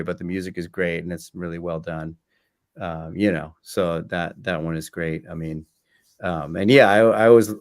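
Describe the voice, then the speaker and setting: Lowish male voice, halting casual talker. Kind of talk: casual conversational interview.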